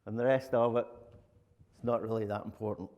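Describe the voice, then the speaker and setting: A man's voice: on the low side, steady tone, brisk, muffled recording. A middle-aged man with a Glaswegian Scottish accent, giving an informal, plain-spoken conference presentation. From Glasgow, Scotland. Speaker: conference speaker, lead software architect. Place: conference room, indoors. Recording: echoey hall with long reverb.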